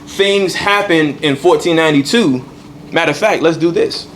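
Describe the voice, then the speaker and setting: A man's voice: fairly high pitch, normal speed, a bit bright, a little hiss or hum. A man teaching a congregation in a temple hall, repeating key points and checking in with "all right". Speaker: religious teacher.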